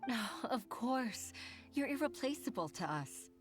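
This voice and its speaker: A woman voicing a calm, kindly, supportive townswoman in a video game, speaking reassuringly. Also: on the high side, measured pace, soft background music.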